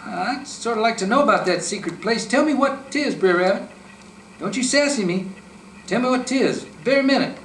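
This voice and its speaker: A man's voice: a little high, big pitch swings, a little slow, a bit bright, a little hiss or hum, pitched above the performer's own voice. A man voicing a mean, sly male fox who snarls and bosses, recorded in an echoey room from a distance. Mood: demanding. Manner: snarling, bossy, demanding.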